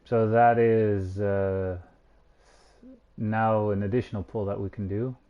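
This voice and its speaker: A man's voice: fairly low pitch, flat delivery, slow, smooth, narrow, tinny audio. A man streaming a video game, giving casual play-by-play commentary on what he does. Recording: game music behind the voice. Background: video game music.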